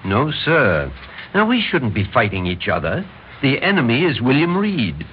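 Old American Major, dull radio recording. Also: male voice, mid-range voice, wildly swooping pitch, moderate pace, slight background noise. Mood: insistent. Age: older. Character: proud, needling old Major, a domineering father-in-law. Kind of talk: old-time radio drama acting.

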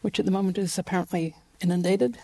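New Zealand woman, warm and gravelly. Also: lowish voice, fast talker, low-quality recording. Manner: relaxed, frequent fillers and restarts, light laughs. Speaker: guest, genetic genealogist. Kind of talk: casual interview.

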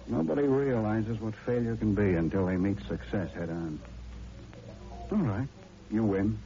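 A man's voice: on the low side, measured pace, dark tone. A man acting as a wry, world-weary charmer in an old radio drama, easygoing and sardonic. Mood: sardonic, resigned. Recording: muffled vintage radio recording.

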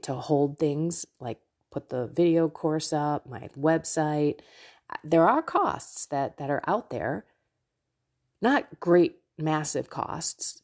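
Low-pitched, slightly nasal woman podcaster. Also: darkish tone. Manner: effusive, chatty, talks directly to listeners. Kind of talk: casual devotional monologue to listeners.

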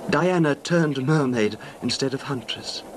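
A man's voice: medium pitch, lightly acted. A man acting a genteel, hesitant middle-aged husband, a wealthy and nervous recluse, in a radio drama. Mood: wistful, reminiscing. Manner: genteel, wistful, halting, formal wording. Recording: noisy vintage radio drama recording.

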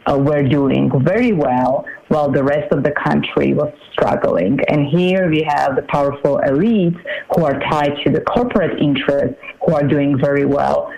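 A woman in her forties from Slovakia, a political candidate giving an interview in a measured, halting way with many fillers. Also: low-pitched, gravelly, darkish tone, dull audio. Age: 40s. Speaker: congressional candidate.

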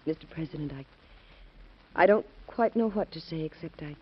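An actress playing a devoted, emotional young woman in a 1940s radio drama, speaking softly and earnestly. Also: low-pitched, normal speed, slightly rough. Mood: tender. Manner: soft, earnest, hesitant. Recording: muffled vintage radio broadcast.

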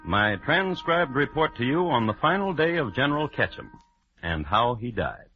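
A man hosting an old-time radio crime show, telling the story in a dry, deadpan, wry way. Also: medium pitch, normal speed. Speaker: host and narrator. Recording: dull vintage radio recording with music.

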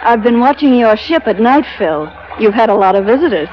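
Actress as a cool scheming wife, muffled radio. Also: female voice, a little high, animated, a little fast, natural voice. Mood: sly, coaxing. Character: cool, scheming wife bargaining with a sea captain. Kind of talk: old-time radio drama acting. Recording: muffled vintage radio broadcast.

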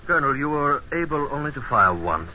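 An actor playing a polite, shrewd military intelligence captain, measured and probing, in a vintage radio drama on an old broadcast recording. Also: male voice, mid-range voice, very animated, pushed and bright, lo-fi sound, a little hiss or hum. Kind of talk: 1940s-50s radio drama acting.

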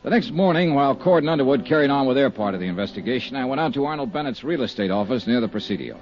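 A man's voice: medium pitch, lively intonation, racing, soft background music, natural voice. An actor playing a wry, hard-boiled male insurance investigator who narrates and speaks in dry, easygoing tones, in 1950s radio drama style. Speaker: narrator and lead. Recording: muffled 1950s radio broadcast.

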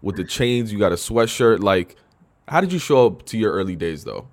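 A man's voice: on the low side, animated, normal speed. A man hosting a podcast, asking probing questions in a laid-back, slangy conversational way. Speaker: podcast host. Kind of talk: casual interview conversation.

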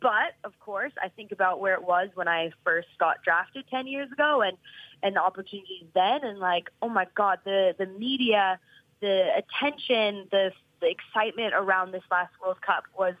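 A woman's voice: medium pitch, normal speed, dry recording. Earnest woman, thirties, muffled line. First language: English. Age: 30s. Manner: earnest, rambling with many restarts. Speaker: professional soccer player, guest. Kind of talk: casual podcast interview.

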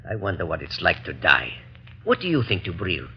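Sardonic musician with swooping delivery. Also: male voice, deep voice, wildly swooping pitch, normal speed, exaggerated voice. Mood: mocking. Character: sardonic, fearless, needling male concert pianist. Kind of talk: old-time radio drama acting. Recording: dull, noisy vintage radio recording.

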